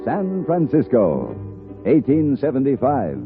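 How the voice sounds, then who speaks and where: A man's voice: medium pitch, huge pitch swings, takes it slowly. A man with an American accent announcing a 1950s radio western in a dramatic introduction. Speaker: show announcer. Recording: muffled old radio broadcast recording. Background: loud brass theme music.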